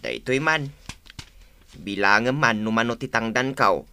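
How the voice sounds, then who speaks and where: A man's voice: mid-range voice, a little slow, sharp tone, smooth. A man with an Ilocano accent playing a humble, plodding older farm labourer in a radio comedy drama.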